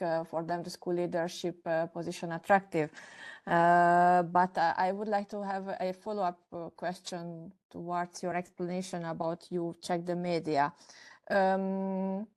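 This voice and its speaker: Hesitant Hungarian-accented woman, lowish voice. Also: darkish tone. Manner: halting, many fillers and restarts. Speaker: webinar participant asking questions. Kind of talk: hesitant spoken questions.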